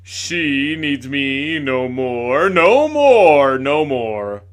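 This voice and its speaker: A man's voice: mid-range voice, very animated, very slow. A man voicing a pompous, melodramatic male ghost who declaims everything like a stage tragedian. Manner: declaiming, overwrought.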